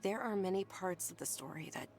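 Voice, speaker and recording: A woman voicing a gentle, thoughtful young noblewoman in a game, soft-spoken and earnest. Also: on the low side, fairly quick, slight background noise, lightly acted. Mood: calm. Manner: soft-spoken and earnest, with hushed breathy moments.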